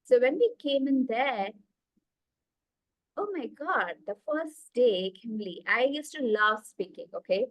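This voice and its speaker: A woman with an Indian accent tells her story eagerly on a video call, her voice sitting a bit high. She talks with many fillers and asides. The sound is dry and close. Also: animated, a little slow, fairly bright tone, no room sound, poor audio. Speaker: guest, executive coach. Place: small room indoors.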